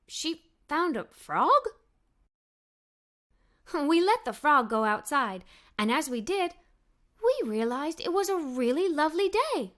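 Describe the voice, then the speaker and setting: A woman narrating a children's story as a read-aloud, with animated, expressive delivery. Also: high voice, moderate pace.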